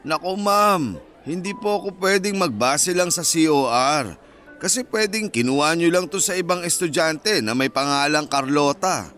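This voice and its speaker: An actor in a Filipino radio drama playing a stubborn university security guard who is polite, firm and by the book. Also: male voice, on the high side, expressive, moderate pace, fairly bright tone, faint music behind. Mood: unyielding.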